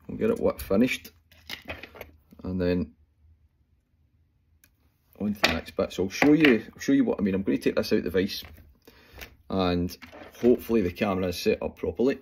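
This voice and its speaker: A Scottish man in his forties explaining fly tying step by step at home, talking in a relaxed way with plenty of fillers as he works. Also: lowish voice, a little hiss or hum. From Scotland. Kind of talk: casual talk-through tutorial. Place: small room at home, indoors. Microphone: phone camera close up.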